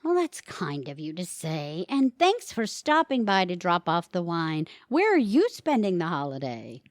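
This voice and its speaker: Woman playing a harried, lively housewife. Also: lowish voice, a little slow, dry recording, clean studio sound. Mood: polite surprise. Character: harried, polite housewife hosting a holiday dinner. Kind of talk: audio theatre comedy acting.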